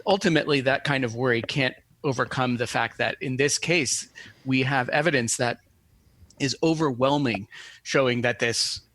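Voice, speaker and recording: Male scholar for an interview, crisp bright edge, dry close sound, measured and analytical phrasing. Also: mid-range voice, dry recording. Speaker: guest academic expert.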